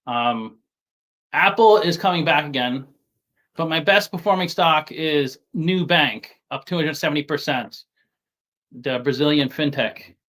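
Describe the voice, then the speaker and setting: A man from New York City with a softened New York accent, talking casually as an interview guest, halting and often repeating words as he restarts. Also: on the high side. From Staten Island, New York City. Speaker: guest investor.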